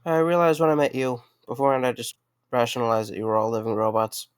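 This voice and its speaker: A man's voice: medium pitch, level tone, fast, smooth, no room sound, clean studio sound, close to an everyday voice. A man playing a sarcastic, easygoing young night guard in a conversational audio drama. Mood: amused storytelling. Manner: wry, conversational.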